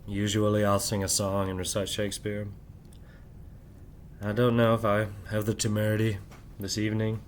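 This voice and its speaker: Rambling low-voiced man talking late at night. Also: completely flat delivery, moderate pace, slight background noise. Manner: rambling, repeats "right?" often; keeps voice down while family sleeps. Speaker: channel host. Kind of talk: late-night monologue to camera. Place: his home at night.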